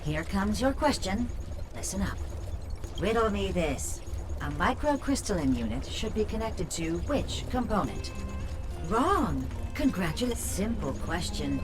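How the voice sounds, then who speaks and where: Slow-talking sarcastic robot. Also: female voice, mid-range voice, big pitch swings, music in the background. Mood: bored, snide. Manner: sarcastic, exasperated. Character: grumpy, sarcastic female certification robot, easily cowed. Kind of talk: video game voice acting.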